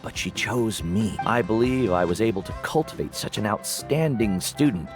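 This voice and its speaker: A man plays a wise veteran mentor in a fantasy game. His low voice is calm and measured, yet moves expressively through its lines. Soft music sits behind him. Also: lightly acted. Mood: calm, reflective. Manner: measured, philosophical. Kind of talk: English video game voice acting.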